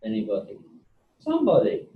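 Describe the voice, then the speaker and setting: A man teaching a university class, talking in a relaxed, chatty way with joking asides, recorded on a table mic in a college classroom. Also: lowish voice, a little fast, narrow, tinny audio. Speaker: university lecturer. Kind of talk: classroom session recorded for online students. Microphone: table mic in the room.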